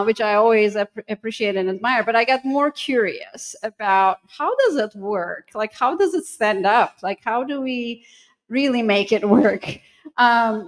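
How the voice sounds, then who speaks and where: A middle-aged woman with a Turkish accent, a university dean talking casually in an interview, thoughtful and hesitant with many ums and restarts. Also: fairly high pitch. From Turkey.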